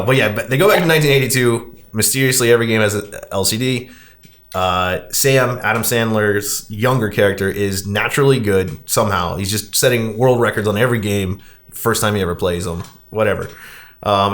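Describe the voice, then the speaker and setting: Man, low-pitched voice, podcast host, casual discussion, conversational recap, frequent asides. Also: a bit bright.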